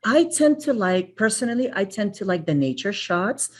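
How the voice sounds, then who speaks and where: A woman's voice: medium pitch, big pitch swings, normal speed. A woman from the Philippines presenting a webinar over a video call, grateful and chatty, with many fillers and repeated thank-yous. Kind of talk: live webinar wrap-up.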